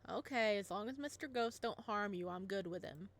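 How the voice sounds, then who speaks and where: A woman voicing a young, protective single father in a visual novel, in a soft, slightly stern way. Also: medium pitch, normal speed, close to an everyday voice. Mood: dry. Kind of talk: reading a visual novel aloud.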